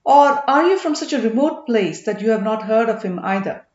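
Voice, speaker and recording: A sneering, needling commander who mocks and probes, a middle-aged schemer , as a woman reads him. The voice sits a little high and carries a touch of room echo on a slightly muffled recording. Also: animated, fairly quick, darkish tone, lightly acted. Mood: mocking. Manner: derisive, needling.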